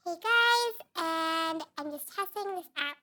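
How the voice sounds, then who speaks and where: A woman's voice: expressive, slow pace, sharp tone, exaggerated voice. A woman speaking through a chipmunk voice effect that shifts her voice up. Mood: upbeat test. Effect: chipmunk pitch-up filter.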